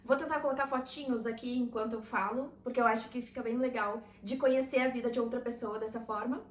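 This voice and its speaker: High-voiced woman in an echoey room. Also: fairly even intonation, fast talker. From southern Brazil. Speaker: vlogger and routine mentor. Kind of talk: casual talking-to-camera vlog.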